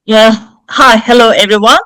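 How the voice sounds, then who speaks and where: A woman's voice: fairly high pitch, steady tone, normal speed, fairly bright tone, no echo, rough recording. A woman from Turkey, presenting her company's yachts on a video call, speaking carefully with frequent fillers. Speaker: yacht charter company representative.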